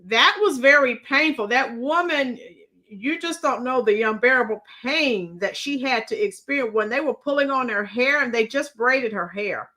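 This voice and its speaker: Bright high-voiced woman, emphatic live commentary. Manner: emphatic, exclamatory, addresses viewers directly. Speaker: livestream host reacting to news and viewer comments. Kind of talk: casual live reaction commentary.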